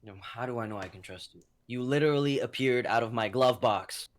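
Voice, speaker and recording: A man's voice: medium pitch, fairly quick, natural voice. A man playing a bewildered, skeptical ordinary guy, hesitant and questioning, in a comedic dramatic reading.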